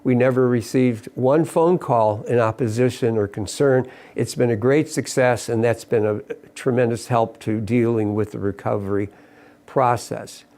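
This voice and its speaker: A middle-aged man with a voice of everyday pitch. He delivers a civic statement in an earnest, measured way, halting briefly and restarting his phrases as he goes. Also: steady tone, mellow. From Ohio, USA. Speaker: mayor. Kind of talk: prepared civic statement to camera.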